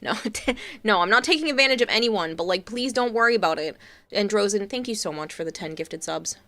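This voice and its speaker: A woman's voice: mid-range voice, animated, a little fast, sharp tone. A young woman with a Boston accent talks to her live-stream chat in a chatty, reassuring way. She replies to viewers and thanks them as she goes. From Massachusetts.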